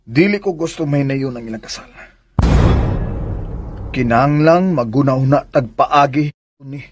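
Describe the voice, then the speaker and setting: A man's voice: mid-range voice, unhurried, slightly rough. A man playing a curt, stubborn young master with a Filipino accent in a radio drama, commanding and terse. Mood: insistent.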